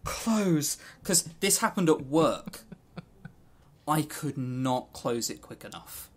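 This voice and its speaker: Man, podcast co-host, higher-pitched voice, very lively intonation, jokey, swears freely, casual chat. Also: slow. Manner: animated, jokey storytelling with frank swearing. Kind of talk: casual chat about games.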